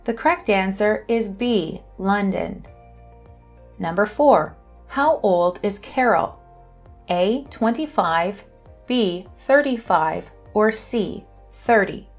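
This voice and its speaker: Slow, careful woman teacher. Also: medium pitch, expressive, soft background music. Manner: slow, careful reading of questions and answers. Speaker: English teacher and channel host. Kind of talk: scripted lesson narration.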